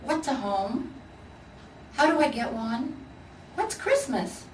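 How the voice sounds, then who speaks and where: A woman's voice: fairly high pitch, sing-song, slow pace, voice pushed up. Woman voicing a curious little teddy bear, echoey. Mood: wondering. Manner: questioning, wondering. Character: small innocent young male teddy bear, anxious and curious. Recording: echoey room, distant mic, faint noise.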